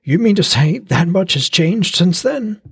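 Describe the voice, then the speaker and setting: A steady, husky warrior voice for a storybook, dry and level, read by a male narrator. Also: sits high, unhurried, no echo, pitched above the performer's own voice, full character voice. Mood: wry bargaining. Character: blind strong male warrior, calm.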